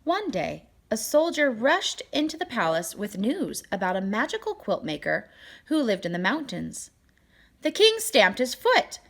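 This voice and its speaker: A woman reading a children's picture book aloud as storyteller, with expressive, deliberate phrasing and dramatic emphasis. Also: fairly high pitch.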